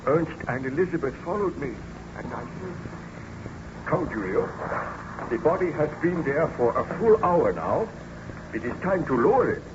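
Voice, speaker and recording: Muffled noisy old radio recording, feverish defiant baron scientist, theatrical, highish swooping voice. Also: male voice, very animated, a little slow, long pauses. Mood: tense narrating. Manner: theatrical, declamatory. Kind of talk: 1930s-40s radio serial acting.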